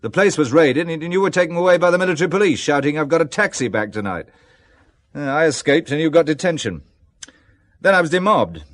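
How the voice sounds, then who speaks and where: A man's voice: a little high, animated, fairly quick, a bit bright. An actor playing a dry, bantering middle-aged businessman and former soldier in an audio drama, with hesitant ums. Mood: nostalgic. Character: wry, teasing male textile boss, ex-army, middle-aged.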